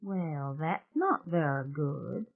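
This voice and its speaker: A woman voicing a quiet, wry woman who mutters low. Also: very animated, slow pace, slightly rough, heavily acted. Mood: muttering. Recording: tinny phone-like sound.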